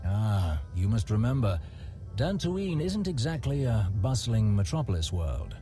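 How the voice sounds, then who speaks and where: An actor playing a self-assured, smooth-talking male guide in a video game, wry, wordy and genteel. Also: on the low side, very animated, measured pace, warm tone. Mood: confident, wry. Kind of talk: acted game cutscene dialogue. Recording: music behind.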